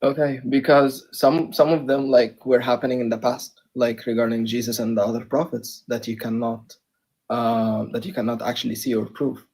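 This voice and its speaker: Hesitant husky young man from Egypt. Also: mid-range voice, little pitch movement, moderate pace, darkish tone. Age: young adult. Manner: hesitant, many fillers and restarts. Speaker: debate participant. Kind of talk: live debate chat.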